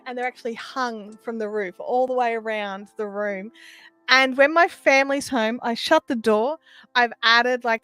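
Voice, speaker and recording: A woman's voice: a little high, fairly bright tone, dry recording. A woman hosting a casual livestream, explaining things conversationally as she answers viewers. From Australia. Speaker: livestream host. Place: small sound-treated home room.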